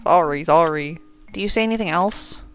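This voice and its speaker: A young woman giving casual, excitable playthrough commentary on a visual novel, gushing and swearing freely. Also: on the low side, moderate pace. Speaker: gaming video creator playing a visual novel. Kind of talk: casual playthrough commentary, reading game dialogue aloud. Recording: music playing behind the voice.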